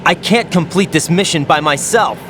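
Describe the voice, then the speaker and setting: An actor playing an earnest but unsure young special operative in a dramatic video game. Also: male voice, on the high side, normal speed, background noise, lightly acted. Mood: frustrated.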